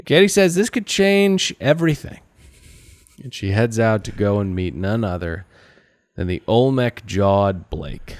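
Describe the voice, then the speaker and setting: A man's voice: on the low side, lively intonation, a little slow, no echo. A man co-hosting a podcast, giving a casual comedic recap in a sardonic, sweary way. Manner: sardonic, profane. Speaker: podcast co-host recapping a TV show.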